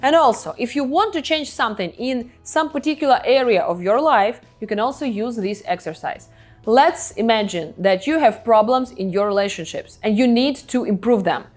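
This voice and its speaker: Woman, Ukrainian accent, higher-pitched, earnest coaching tone, soft music underneath. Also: lively intonation, moderate pace. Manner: earnest, emphatic coaching in non-native English. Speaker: self-help vlogger and hypnotherapist. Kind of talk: motivational self-help talk to camera. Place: indoors, filming herself.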